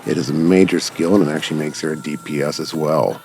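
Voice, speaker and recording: A man's voice: extremely low pitch, fairly even intonation, fast talker, vocal fry, a little mellow, a little hiss or hum. A man giving casual, unscripted commentary as host of a gaming channel, rambling along in long run-on sentences. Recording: music over the voice. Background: music.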